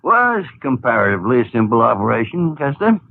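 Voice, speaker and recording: An actor playing a gruff, blustering older senior doctor in a 1950s radio drama. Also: male voice, medium pitch, sing-song, fast talker. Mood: explaining. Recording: tinny lo-fi 1950 radio broadcast.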